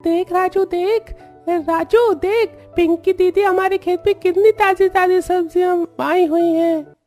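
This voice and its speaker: Woman voicing an excited, very high-pitched little child. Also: a little slow, faint music behind. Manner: exclaiming, repetitive, childlike. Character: excited little village child calling younger brother. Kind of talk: Hindi cartoon story dialogue.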